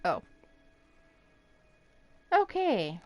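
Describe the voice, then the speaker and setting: A woman's voice: mid-range voice, huge pitch swings, very slow. A woman streamer giving casual live game commentary, musing aloud and asking herself questions, with faint game music behind her.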